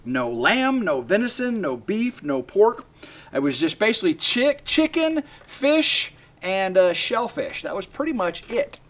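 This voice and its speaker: A man in his fifties rambling excitedly to camera outdoors by his work shed, full of fillers and restarts. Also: high voice, measured pace. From West Tennessee. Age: 50s. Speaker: homesteading vlogger. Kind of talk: rambling casual vlog to camera.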